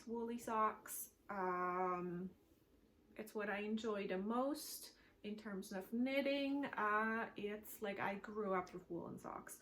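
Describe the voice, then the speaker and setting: Woman, native German speaker, from Switzerland, knitting podcast host, unhurried, rambling, chatty. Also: on the high side, fairly bright tone, slight background noise. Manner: rambling, chatty, many fillers. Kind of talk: casual chat to camera. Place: at home.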